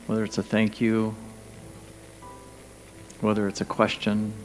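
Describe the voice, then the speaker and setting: A man leading a guided meditation, speaking gently and soothingly in short, spaced phrases with pauses. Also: lowish voice, completely flat delivery, poor audio. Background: background music.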